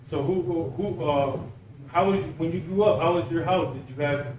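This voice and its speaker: A man's voice: on the high side, normal speed, roomy sound, lo-fi sound. Cuban-born guy in his 40s hosting a podcast chat, kinda far from the mic, slight background hiss. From Cuba. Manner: encouraging, repeats words while searching for them. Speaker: podcast host. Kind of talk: casual interview chat.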